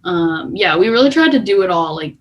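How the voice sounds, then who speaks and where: A woman's voice: on the low side, animated, fairly quick, lo-fi sound. A woman guest talking informally and conversationally on a podcast, often saying "like". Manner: informal, conversational, heavy use of "like". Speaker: podcast guest, music company co-founder. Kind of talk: casual chat.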